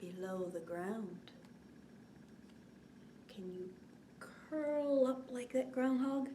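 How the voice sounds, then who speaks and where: A woman leads a bedtime story time for small children in a fairly high voice. She speaks slowly and expressively, stretching words and often softening to a hush. There is clear background noise in the recording. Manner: expressive, drawn-out words, often dropping to hushed whispery tones. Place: indoors, small curtained set.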